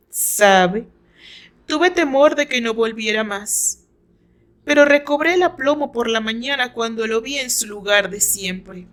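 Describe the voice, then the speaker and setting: A woman voicing a cruel, bitter female rival in a radio drama, mocking and dramatic, at times breathy. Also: on the high side, fairly bright tone.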